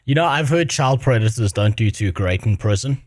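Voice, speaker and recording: A man's voice: medium pitch, lively intonation, a little fast, a little mellow, slight background noise. A man co-hosting a stream, reacting bluntly and crudely, rambling with lots of fillers. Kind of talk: casual reaction chat.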